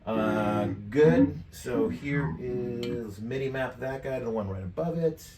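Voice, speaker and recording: A man in his fifties giving a casual, off-the-cuff software demonstration, jokey and slangy, with plenty of swearing. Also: medium pitch, takes it slowly. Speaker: tutorial presenter. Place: indoor home studio at a desk. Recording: roomy, distant mic, clear background noise.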